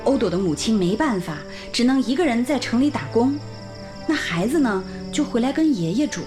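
Woman acting friendly village teacher lady, caring, highish animated voice, music behind, radio drama. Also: fairly quick, lightly acted. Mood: sorrowful concern. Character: friendly, caring female village schoolteacher, local colleague. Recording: music under the dialogue.

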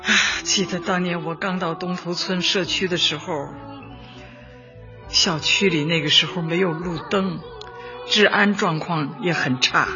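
Kindly old woman community leader, affectionate, emphatic, radio drama, dull recording over clear background music. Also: fairly low pitch, unhurried, pushed and bright. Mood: fond, reminiscing. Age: older. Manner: affectionate, emphatic, sighing interjections. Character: warm, devoted elderly woman community party secretary. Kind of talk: Chinese radio drama acting. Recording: muffled, music behind.

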